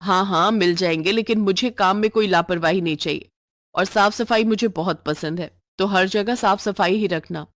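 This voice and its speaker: A woman's voice: medium pitch, natural voice. Woman voicing a bossy well-off lady employer. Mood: brisk, bossy. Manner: brisk, commanding. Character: well-off office-going female employer, bossy and demanding. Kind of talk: moral storybook voice acting.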